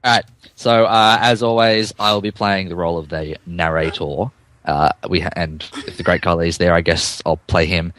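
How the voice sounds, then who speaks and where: A man's voice: low voice, fairly even intonation, normal speed, fairly bright tone, dry recording. A man co-hosting and narrating a comic dramatic reading, mock-dramatic and often stumbling over his words. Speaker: podcast co-host and narrator.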